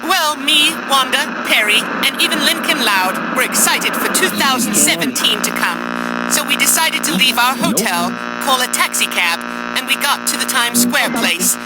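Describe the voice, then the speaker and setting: A woman's voice: high-pitched, expressive, moderate pace, loud music behind the voice, low-quality recording. A woman voicing an earnest cartoon character giving an account in a scripted fan drama. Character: high-voiced cartoon character earnestly recounting a disaster.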